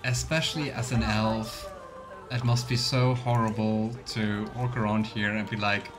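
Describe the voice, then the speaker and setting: A man's voice: on the low side, steady tone, unhurried. A man giving casual, musing let's play commentary on a role-playing game, with a wry, sardonic manner. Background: game music.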